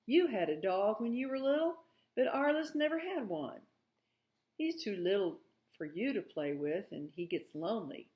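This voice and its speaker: Woman playing a gently firm frontier mother. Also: mid-range voice, animated, normal speed, lightly acted. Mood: reasoning. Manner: reasoning, gently firm, folksy. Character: practical, fair-minded frontier mother of two boys.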